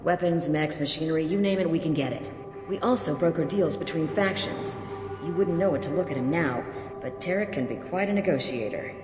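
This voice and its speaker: An actress playing a weary mercenary officer who speaks frankly and resentfully. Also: female voice, deep voice, brisk, over music, close to an everyday voice. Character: female mercenary lieutenant, bitter, weary. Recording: echoey game mix.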